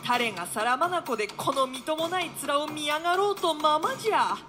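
Woman as cheeky young gentleman, high voice, showy old-fashioned stage banter, music playing behind. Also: lively intonation, a little fast. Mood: brash, scornful. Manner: bantering, flamboyant, emphatic declamation. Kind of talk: radio drama in archaic theatrical Japanese.

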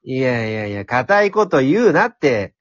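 A man voicing a brash, cheeky talking pencil in an amateur radio drama, speaking in rough, cocky, encouraging slang. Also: mid-range voice, big pitch swings, moderate pace, no room sound, lo-fi sound, close to an everyday voice. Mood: cheeky, teasing.

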